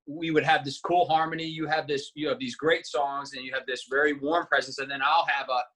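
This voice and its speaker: A man with a bright, forceful voice and a breathy edge. He is a singer-songwriter reminiscing in a relaxed chat, often circling back and restarting his thoughts. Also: a little high, fairly quick, poor audio. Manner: rambling, self-correcting reminiscence. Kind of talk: casual conversation.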